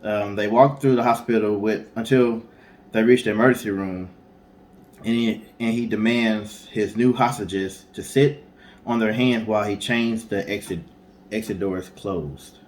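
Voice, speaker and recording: A man's voice: on the low side, steady tone, measured pace, darkish tone. A man co-hosting a casual storytelling show, speaking conversationally with informal asides. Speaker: co-host.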